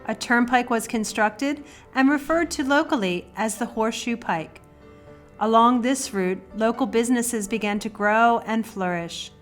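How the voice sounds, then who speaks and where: A woman narrates a local history documentary from a script. Her voice sits fairly high, and her reading is steady and measured. Soft music plays under her narration.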